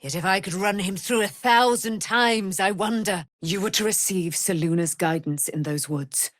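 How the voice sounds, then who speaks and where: A woman's voice: medium pitch, normal speed, dry recording, studio quality, close to an everyday voice. An actress voicing a proud, righteous, vengeful immortal female knight in a grand, archaic, declamatory fantasy game style. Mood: solemn. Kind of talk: theatrical fantasy game voice acting.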